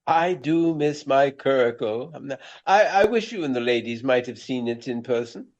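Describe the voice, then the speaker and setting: An actor playing a vain, elderly Regency stage actor with a pompous, florid, courtly manner in a radio play. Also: male voice, medium pitch, lively intonation, normal speed, muffled recording, lightly acted.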